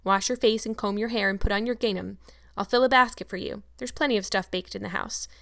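A woman doing a stern, brisk older farm woman. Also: mid-range voice, steady tone, creaky, fairly bright tone, no echo, studio quality. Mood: relenting. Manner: brisk, scolding, no-nonsense. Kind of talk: audiobook character reading.

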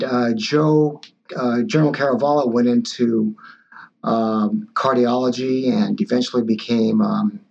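A man's voice: mid-range voice, creaky, a little mellow. A man in his sixties, a physician guest, answering interview questions in a hesitant way with many fillers and restarts. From born in Taiwan, raised in Los Angeles. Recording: dull lo-fi audio.

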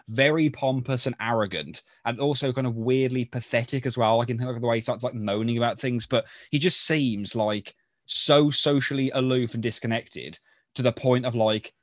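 A man's voice: medium pitch, no echo, clean studio sound. A man co-hosting a podcast in casual chat, rambling and thinking aloud as he goes. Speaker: podcast co-host. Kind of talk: casual chat about a sitcom.

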